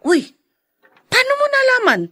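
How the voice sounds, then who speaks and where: An actress playing a gossipy, frank Filipino woman friend in a radio drama, expressive and chiding. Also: very high-pitched, normal speed, no room sound, studio quality, heavily acted. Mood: startled, confiding.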